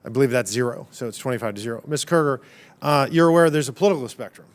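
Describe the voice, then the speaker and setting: A man's voice: mid-range voice, expressive, very fast. A man, a congressman, questioning a witness at a hearing in a pointed, combative way, stacking up numbers. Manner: pointed, combative, piles up figures. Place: hearing room.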